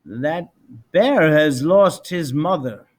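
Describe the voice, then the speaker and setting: A man voices a kind-hearted army vet from a storybook. He sounds earnest and deliberate, in a lightly raised, expressive voice. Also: a little high. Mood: thoughtful. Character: kind-hearted soldier veterinarian, earnest and hesitant.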